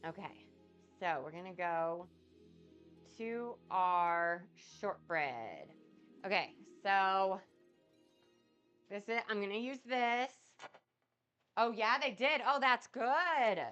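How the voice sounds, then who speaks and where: A woman's voice: mid-range voice, lively intonation, slow pace, long pauses, a bit bright, muffled recording, soft background music. A woman hosting a casual cooking livestream, chatting with viewers and answering chat while she cooks, a bit sniffly and nasal from a cold. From United States. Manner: chatty, rambling, reads out and answers chat comments.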